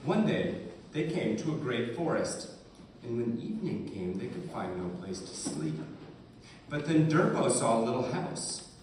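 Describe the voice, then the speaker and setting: Slow, lively male storyteller in echoey room. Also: mid-range voice. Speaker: narrator of a puppet show. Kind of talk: live scripted storytelling. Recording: very echoey room, distant, faint noise.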